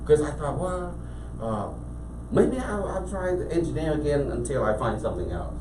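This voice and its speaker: A man from Cambodia with a Cambodian accent, a business owner telling his story as a guest in a casual interview, animated and full of restarts. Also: fairly high pitch, normal speed. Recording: echoey room, mic at a distance, faint background noise.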